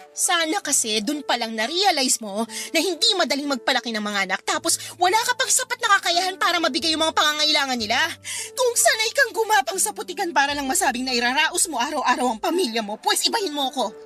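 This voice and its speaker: A frustrated, guilt-ridden wife in a radio drama. Her voice is high and forceful, with an emphatic, reproachful delivery. Soft music runs underneath. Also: very animated, brisk, heavily acted. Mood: exasperated, scolding. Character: frustrated, ambitious, guilt-ridden wife and mother of modest means. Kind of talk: Filipino radio drama acting.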